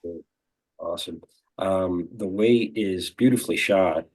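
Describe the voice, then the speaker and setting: Deep-voiced man hosting a casual interview. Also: unhurried, a little mellow, breathy edge, rough recording. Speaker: interview show host.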